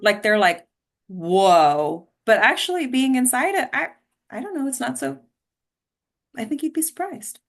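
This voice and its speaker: A woman's voice: high-pitched, expressive, a little slow. An actress playing a tired, polite, guarded mother in a stage play read, hesitant and understated, trailing off. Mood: hesitant, reassuring.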